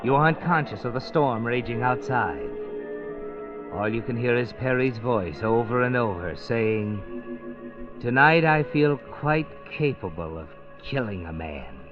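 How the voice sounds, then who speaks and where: A man's voice: medium pitch, expressive, a little slow, lightly acted. A man playing an ominous, all-knowing narrator of a 1940s radio mystery, dramatic and insinuating. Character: ominous omniscient mystery narrator, needling the heroine. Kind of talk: 1940s radio noir narration in second person. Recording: muffled old radio with music behind.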